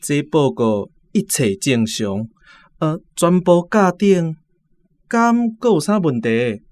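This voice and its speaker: An actor with a Taiwanese accent playing an indignant, puzzled educated suitor in a theatrical radio drama. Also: male voice, on the high side, very animated, slow pace, a little mellow. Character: indignant, puzzled man with a doctorate, courting.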